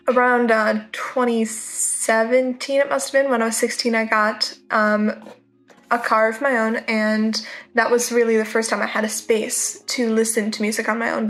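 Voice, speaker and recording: A woman's voice: fairly high pitch, rough recording. A 21-year-old woman with an American accent, telling personal stories in a casual, chatty way. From United States. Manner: chatty, peppers talk with quoted asides. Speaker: interviewee, K-pop fan.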